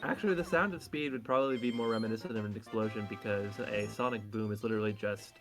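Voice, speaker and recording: A man's voice: fairly low pitch, fast talker, soft background music. A young man giving casual, joking commentary alongside a streamer on a video game broadcast.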